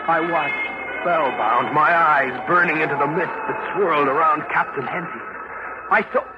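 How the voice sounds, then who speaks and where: An actor playing an earnest, uneasy ship's officer who tells a ghost tale dramatically in 1940s radio style. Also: male voice, fairly high pitch, normal speed, natural voice. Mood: haunted, dramatic. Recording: dull old radio recording, loud music behind.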